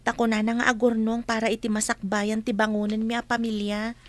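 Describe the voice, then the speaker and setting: An actress playing a hopeful, trusting young wife talking as a grown daughter in a radio drama. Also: female voice, a little high, flat delivery, brisk, no echo, natural voice. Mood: reassuring. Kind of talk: Philippine radio drama acting.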